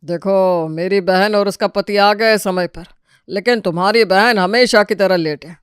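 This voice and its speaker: Guy doing a bossy middle aged dad in a cartoon story, nagging, crisp and dry sound. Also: high-pitched, unhurried, fairly bright tone, no room sound. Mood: sarcastic. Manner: sarcastic, nagging. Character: bossy, sarcastic middle-aged husband and father. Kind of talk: Hindi animated family story acting.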